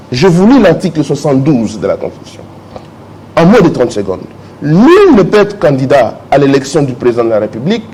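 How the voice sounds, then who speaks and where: A man's voice: on the high side, a little mellow, a little hiss or hum, poor audio. A man speaking as a jurist guest in a morning interview, explaining legal texts and reading articles aloud to the host. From Democratic Republic of the Congo.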